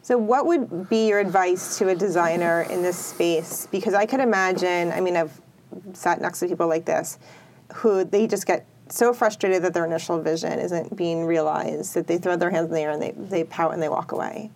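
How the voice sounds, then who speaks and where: Woman, interviewer, low pitch, curious, chatty, conversational questions. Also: fairly even intonation, normal speed, darkish tone.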